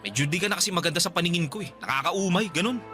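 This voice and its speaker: An actor playing a scornful, blunt young boyfriend who nags and mocks in a Tagalog radio drama. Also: male voice, high voice, expressive, very fast, sharp tone, soft background music. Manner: mocking, blunt, nagging.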